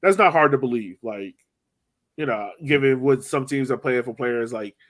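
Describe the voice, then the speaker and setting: A man's voice: mid-range voice, moderate pace. A man in casual sports podcast chat, rambling along with lots of "like" and "you know". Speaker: soccer podcast host.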